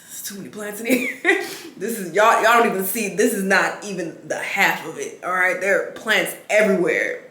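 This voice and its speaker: Sniffly woman, bright voice, blunt casual reaction chatter, echoey room. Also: mid-range voice, lively intonation, moderate pace. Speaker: reaction vlogger. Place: rented home full of plants.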